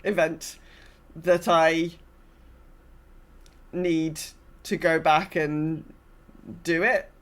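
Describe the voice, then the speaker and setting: A woman's voice: low voice, fairly even intonation, very slow, pauses often. A woman co-hosting a casual gaming podcast, speaking in a wry, understated and somewhat hesitant way. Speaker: podcast co-host.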